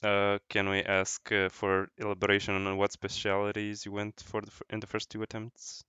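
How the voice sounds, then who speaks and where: A man's voice: on the low side, monotone, normal speed, pushed and bright, clean tone, no echo, highs cut off. A man in his twenties, a guest in a casual chat, asking follow-up questions with dry remarks. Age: 20s. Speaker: podcast guest.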